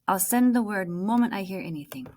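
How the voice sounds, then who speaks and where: Woman reading as steady male community leader. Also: medium pitch, brisk, recorded from a distance, lightly acted. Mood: reassuring. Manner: matter-of-fact, official. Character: steady refugee camp community leader, a man.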